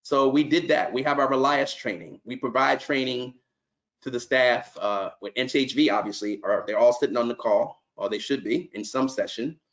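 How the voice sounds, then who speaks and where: Middle-aged man, nonprofit executive, earnest and conversational, ordinary mid-pitched voice, restarts sentences. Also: normal speed, a bit bright, rough recording. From New York. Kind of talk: conference remarks on a call.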